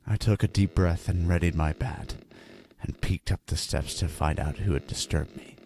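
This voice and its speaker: A man performs a haunted family man confessing his ordeal in a creepy horror story. His low voice is earnest, theatrical and full of foreboding, with music clearly playing underneath. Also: lightly acted. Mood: wary, foreboding. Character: haunted husband and father confessing a terrifying ordeal. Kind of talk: dramatic first-person horror narration. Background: background music.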